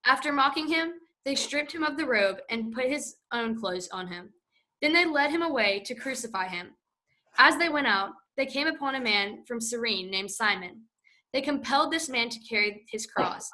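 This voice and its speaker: A woman narrates the scripture passage in church with a bright, slightly high voice. She reads in a steady, clear way. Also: rough recording. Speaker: scripture reader.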